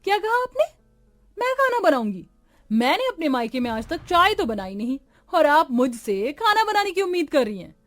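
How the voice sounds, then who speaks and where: High-voiced, sharp-tongued young daughter-in-law played by a woman. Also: sing-song, normal speed, faint music behind. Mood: scornful. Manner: scolding, melodramatic. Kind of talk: cartoon family drama dialogue.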